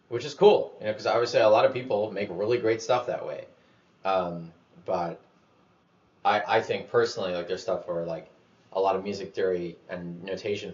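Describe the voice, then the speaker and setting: A man talking through his thoughts in a loose, rambling way, full of fillers, restarts and the odd casual swear. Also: low-pitched. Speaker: vlogger. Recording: dull lo-fi sound.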